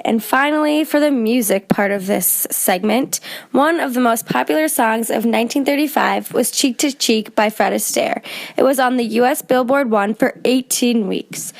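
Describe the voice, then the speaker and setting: Young woman presenter with highish voice. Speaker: music segment presenter. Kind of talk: scripted radio narration.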